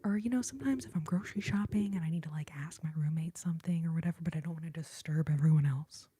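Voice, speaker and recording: A woman chatting casually to livestream viewers in a soft, breathy, whispery ASMR voice, deliberately gentle and restrained. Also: low-pitched. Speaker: live streamer and singer taking requests.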